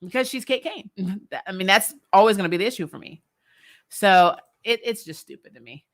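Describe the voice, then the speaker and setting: A woman's voice: mid-range voice, animated, a little fast, a bit bright. A woman hosting a recap livestream in casual chat, blunt and opinionated, with an exasperated, giggly way of talking. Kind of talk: casual chat, reading and answering viewer comments.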